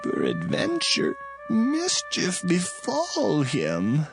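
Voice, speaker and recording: A man voicing an anxious old Hebrew patriarch, drawn-out and pleading, in a dramatized Bible audio story. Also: high-pitched, huge pitch swings, gravelly, voice pushed up, exaggerated voice. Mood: grave, worried. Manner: slow, drawn-out, pleading delivery. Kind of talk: dramatized scripture reading. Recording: loud music over the voice.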